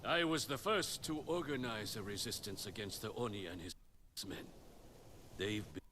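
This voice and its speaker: An actor playing a stern, gruff middle-aged spear master and resistance leader in a video game, blunt and wry as he instructs. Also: lowish voice, moderate pace, pushed and bright, slight background noise. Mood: grave. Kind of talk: dramatic video game acting.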